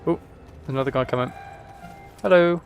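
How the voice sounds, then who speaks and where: A man's voice: medium pitch, a little fast, creaky, soft background music. A man giving casual, playful gameplay commentary, often talking to himself. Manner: playful, talks and sings to himself. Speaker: game streamer.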